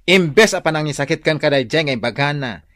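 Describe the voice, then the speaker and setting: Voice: mid-range voice, lively intonation, a little fast, a bit bright, dry recording, lightly acted. An actor playing a sassy, indignant, gossipy cousin in an Ilocano radio drama, with an Ilocano accent. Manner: exclaiming, indignant, teasing. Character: gossipy, sassy cousin who takes the friend's side.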